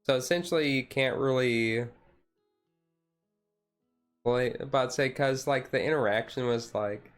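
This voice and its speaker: A man streamer chatting casually in a relaxed, halting, rambling way, thinking aloud as he goes. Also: mid-range voice. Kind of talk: relaxed casual chat about a card game, ASMR-style stream. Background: music playing softly behind the voice.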